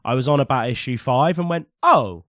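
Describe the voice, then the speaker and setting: A young man co-hosting a casual podcast, a little husky from a cold, opinionated and teasing. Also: mid-range voice, animated, brisk, fairly bright tone, no echo, studio quality. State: recovering from a cold, slightly husky. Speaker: podcast co-host. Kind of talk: casual chat.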